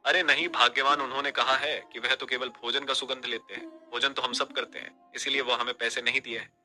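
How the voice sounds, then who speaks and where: A man's voice: mid-range voice, fairly even intonation, a little fast, pushed and bright, faint music behind. A man playing a humble, dutiful servant and husband in a cartoon moral story, speaking earnestly and politely. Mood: explaining. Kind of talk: animated moral story dialogue.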